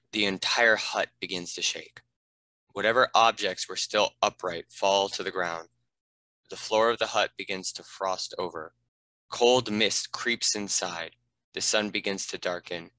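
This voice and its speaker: A man's voice: low-pitched, measured pace, bright, forceful tone, dry recording, rough recording. A man reading scripted narration and stage directions for a fantasy script read.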